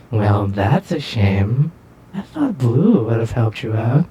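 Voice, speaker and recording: A teasing, playful princess, played by a woman, with a very deep and lively voice. She speaks slowly with a dark, warm tone, while faint music plays underneath. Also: slight background noise, exaggerated voice. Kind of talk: casual roleplay dialogue.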